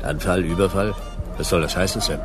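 A man's voice: deep voice, brisk, lightly acted. A man playing a terse, world-weary private detective in a radio play, speaking in a clipped, dry, laconic way. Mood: irritated, sardonic. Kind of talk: hardboiled radio play narration and dialogue. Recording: music behind the voice.

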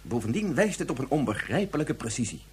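Husky, earnest male scientist. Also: medium pitch, big pitch swings. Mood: insistent, explaining. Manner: formal, deliberate, argumentative. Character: earnest male scientist and father, insistent, methodical. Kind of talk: Dutch science fiction radio drama acting.

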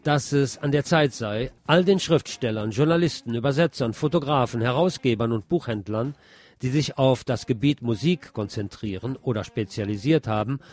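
A man's voice: medium pitch, moderate pace, a little mellow. A man hosting a radio magazine, reading scripted news reports in a presenter's role. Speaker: radio host and presenter, also an author.

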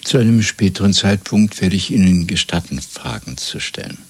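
An actor playing a calm, cold party interrogator in a radio play, formal and methodical, probing with measured questions. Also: male voice, sits low, husky. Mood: cold, controlled. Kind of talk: German radio play acting. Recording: restored 1970s radio drama recording.

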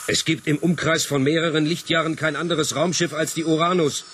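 A man's voice: a little high, steady tone, brisk, fairly bright tone, slight background noise, close to an everyday voice. A man playing an impatient, commanding spaceship captain in a science fiction radio play, brusque and barking orders. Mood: angry, incredulous.

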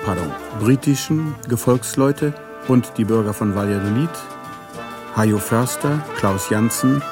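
A man's voice: medium pitch, measured pace, music in the background. A man announcing a radio play and its cast in a formal, scripted style.

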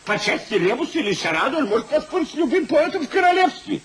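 An actor playing a gossipy older gentleman, a boastful doting uncle, in an exclamatory 1950s Russian radio comedy style. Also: very high voice, big pitch swings, fast talker, slight background noise. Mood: proud boasting. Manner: theatrical, exclamatory, emphatic. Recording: 1950s radio recording, audience audible.

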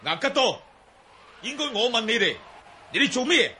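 A man's voice: very high-pitched, very animated, moderate pace, pushed and bright, husky, heavily acted. An actor playing a courteous, heroic young nobleman in a Cantonese radio drama, speaking formally and earnestly. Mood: defiant.

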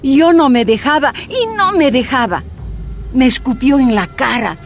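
An actress narrating as a miner's wife recounting her torture in a radio drama, grave and measured. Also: high voice, expressive, slight background noise. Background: soft music.

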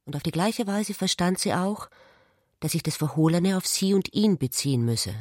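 A woman's voice: lowish voice, animated, normal speed, a little mellow, no echo. Woman narrating literature, dry studio sound. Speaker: narrator in a literary radio play.

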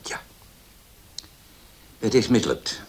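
A man's voice: drawn-out words, darkish tone, whispered. An actor playing a weary, regretful father in a Dutch radio play.

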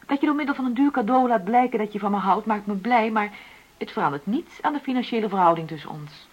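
A woman's voice: a little high, lively intonation, fast talker, dull audio. An actress playing a frustrated middle-aged wife in a radio play, insistently arguing for equality in her marriage. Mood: earnest, reproachful. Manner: argumentative, insistent, reasoning. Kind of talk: naturalistic Dutch radio play dialogue.

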